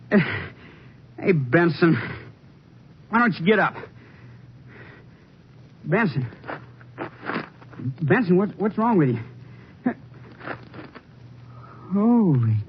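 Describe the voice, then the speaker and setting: A young, anxious army deserter in a vintage radio play. His voice is high and swings widely, plaintive and stubborn at once. The recording is dull with a faint hiss. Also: big pitch swings, slow, pauses often, full character voice. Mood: rattled. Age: young adult. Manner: hesitant, plaintive, colloquial. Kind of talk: old-time radio drama acting.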